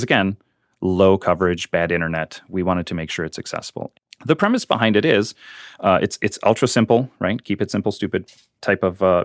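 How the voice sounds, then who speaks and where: A man's voice: sits low, no echo, studio quality. A man who grew up speaking Ukrainian, explaining a volunteer project in casual interview talk, with many fillers and restarts. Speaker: guest, volunteer on a missing-persons website.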